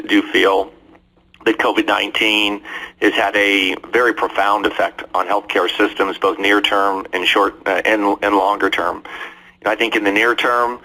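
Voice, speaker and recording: Exec guy on earnings call, deep-ish flat voice, sharp edge, careful and halting. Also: poor audio. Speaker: company executive.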